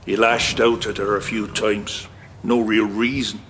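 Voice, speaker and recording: A man's voice: on the low side, flat delivery, a little slow, a bit bright. An actor with a Scottish accent playing a bitter, wary man in a naturalistic radio drama. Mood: troubled. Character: bitter, wary man discussing his violent war-damaged cousin. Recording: muffled, with clear background noise.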